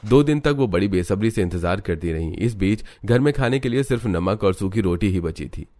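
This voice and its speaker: A man's voice: fairly low pitch, animated, brisk, mellow, natural voice. A man narrating a scripted cartoon family story as the storyteller.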